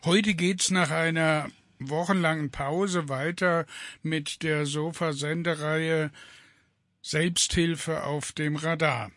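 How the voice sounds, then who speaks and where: A man's voice: fairly high pitch, fairly even intonation, slow, a bit bright, no echo. A man hosting a community radio talk show, introducing guests in a studio. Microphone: studio microphone.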